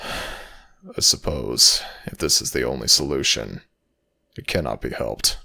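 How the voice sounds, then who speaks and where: A man voicing a stern, gruff male Egyptian god of the dead in a dramatic audio roleplay, speaking formally and demandingly. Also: a bass voice, takes it slowly, pushed and bright, pitched below the performer's own voice, exaggerated voice. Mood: reluctant, solemn.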